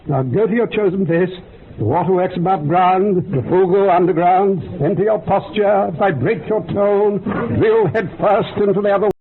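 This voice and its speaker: An actor playing the solemn male leader of an occult research circle in a radio drama, speaking formally and portentously in a creaky, husky voice. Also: sits high, moderate pace. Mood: commanding, incantatory. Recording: dull, muffled radio drama recording.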